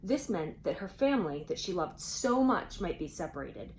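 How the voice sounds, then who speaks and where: A woman reading a children's storybook aloud expressively, pausing for conversational asides and questions to young listeners. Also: lowish voice, very animated, darkish tone, slight background noise, low-quality recording.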